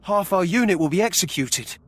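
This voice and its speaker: A man's voice: sits high, expressive, fairly quick. An actor playing an anxious, naive young male prison guard in a video game, nervous and pleading. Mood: frightened pleading.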